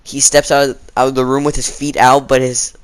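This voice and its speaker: Halting teenage boy storyteller with sore throat. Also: mid-range voice, level tone, clean tone. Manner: halting, informal, slangy, dramatizing quotes. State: sore throat, frequent throat clearing. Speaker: video storyteller. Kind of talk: casual rambling storytime.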